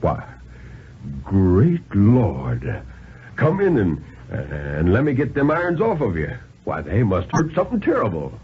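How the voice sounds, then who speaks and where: A man's voice: on the low side, wildly swooping pitch, slightly rough, heavily acted. A man voicing a blunt, folksy small-town police chief with colloquial, repeating speech on a 1950s radio reading. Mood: shocked concern. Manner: colloquial, stammering repeats, drawn-out reactions. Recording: muffled 1950s radio recording with hiss.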